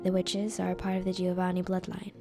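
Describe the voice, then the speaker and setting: A woman playing a composed vampire council member in a scripted roleplay, with formal, measured delivery. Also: lowish voice, completely flat delivery, mellow, faint music behind, close to an everyday voice. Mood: calm, grave.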